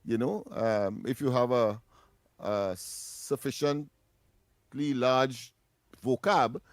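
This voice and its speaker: A man's voice: medium pitch, slow. A man co-hosting a radio call-in talk show, chatting casually, often laughing and repeating himself. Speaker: co-host. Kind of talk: casual chat.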